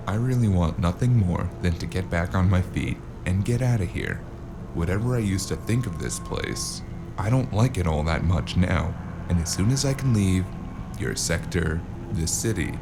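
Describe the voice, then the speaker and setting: A man playing a wary amnesiac drifter in a noir audio drama, hesitant and wry, with a very deep, gravelly voice. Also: animated, unhurried, over music. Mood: wary, placating. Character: wary amnesiac male drifter narrating his noir tale. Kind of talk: noir audio drama acting and first-person narration.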